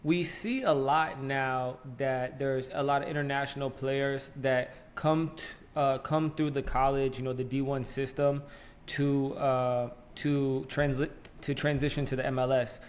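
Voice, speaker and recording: A man's voice: medium pitch, steady tone, unhurried. Easygoing male interview host. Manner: friendly, easygoing questions with hesitations. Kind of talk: casual interview chat.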